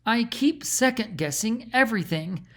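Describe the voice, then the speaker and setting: A man's voice: extremely high pitch, lively intonation, moderate pace, fairly bright tone, pitched above the performer's own voice, heavily acted. A man voicing a distressed young princess in a storybook, fretful and full of regret. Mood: regretful.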